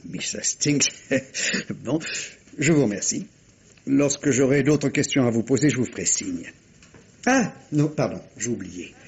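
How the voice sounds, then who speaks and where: An actor playing a courteous, probing police inspector in a vintage radio mystery, with polite, hesitant, insinuating questions. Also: male voice, medium pitch, a little mellow, breathy edge, muffled recording, exaggerated voice.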